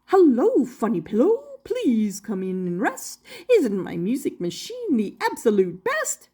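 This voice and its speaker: A woman plays an eccentric little male inventor from a children's storybook. He is exuberant and swoops about in a high, sing-song voice, rhyming as he boasts. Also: wildly swooping pitch, a little slow, dark tone, slightly rough, heavily acted. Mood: exuberant, boastful.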